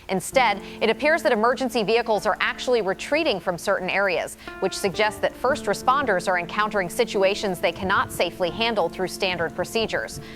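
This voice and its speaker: An actress playing a serious, formal field reporter giving a detailed live news report in an audio drama. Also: female voice, mid-range voice, a bit bright, natural voice.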